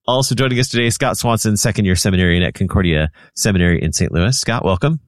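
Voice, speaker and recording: Male talk radio host, deep voice, measured introductions and questions, close dry sound. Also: lively intonation, no echo. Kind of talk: radio interview hosting.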